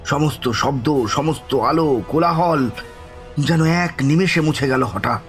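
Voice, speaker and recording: An actor playing a bewildered, frightened man telling a village ghost story in the first person, with a halting, suspenseful, dramatic delivery. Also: a little high, faint music behind, natural voice. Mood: tense, suspenseful. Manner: halting, breathy repetitions, suspenseful, pleading in dialogue.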